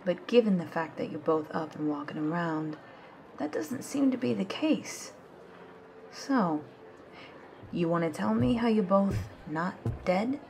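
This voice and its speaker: A sardonic woman detective played by an actress in an ASMR audio drama. Her voice is low and unhurried, confrontational and suspicious, over faint ambience. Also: animated, vocal fry, darkish tone, slight background noise. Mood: sardonic, accusing. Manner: confrontational, sarcastic.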